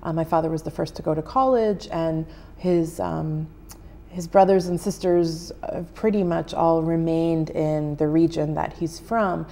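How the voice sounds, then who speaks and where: A woman in her thirties with an American accent answers questions in a TV studio, speaking into a lapel mic. Her voice is low and dark with a gravelly edge, and she pauses often to think. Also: fairly even intonation. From United States. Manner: thoughtful, many "um" pauses, gestures while talking. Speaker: journalist guest. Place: TV studio set.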